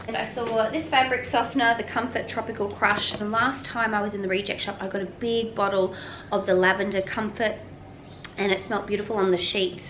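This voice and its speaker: A woman's voice: medium pitch, fairly bright tone, a little hiss or hum, low-quality recording. A woman, chatting casually to camera at home, rambling with frequent ums. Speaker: homemaking vlogger. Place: home kitchen and laundry room, indoors. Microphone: camera on a tripod at a few feet.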